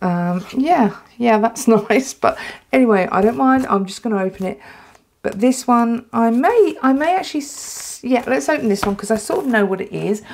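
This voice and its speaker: English lady rambling through a sticker haul, gravelly voice, lots of ums, dry throat. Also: on the high side, normal speed. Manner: chatty and excitable, with many ums and restarts. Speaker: planner vlogger. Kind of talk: casual unboxing chat. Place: indoors at a tabletop.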